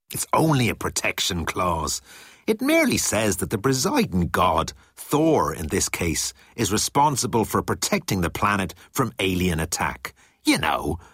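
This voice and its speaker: A man's voice: lowish voice, fairly bright tone, husky, no room sound, clean studio sound, exaggerated voice. A man voicing a wheedling, tipsy Irish businessman with a lilting, ingratiating delivery in an audiobook.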